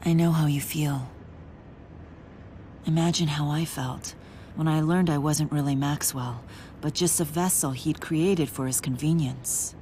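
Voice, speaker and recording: A woman playing a resolute, caring young warrior woman in a video game, speaking in a measured, earnest way. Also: deep voice, fairly even intonation, dark tone, a little hiss or hum. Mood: earnest, consoling. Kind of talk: dubbed video game cutscene acting.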